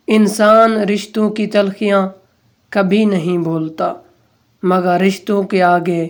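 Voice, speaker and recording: A woman reading out scripted quotations as a narrator, in measured, deliberate phrases with pauses. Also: mid-range voice. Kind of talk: scripted recitation of Urdu quotes.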